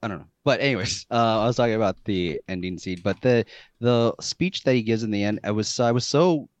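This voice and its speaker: A man hosting a casual podcast chat, talking haltingly with many fillers and restarts. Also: on the low side, no room sound. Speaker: podcast host.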